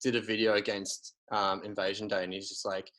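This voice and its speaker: Bright-voiced man chatting. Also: on the low side, little pitch movement, brisk, poor audio. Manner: rambling, many fillers and restarts. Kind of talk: casual conversation.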